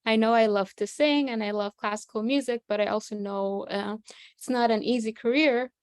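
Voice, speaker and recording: A young woman raised in Egypt, a classical singer being interviewed, answering in a relaxed way with many hesitations. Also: a little high, normal speed, dry recording, studio quality. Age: young adult.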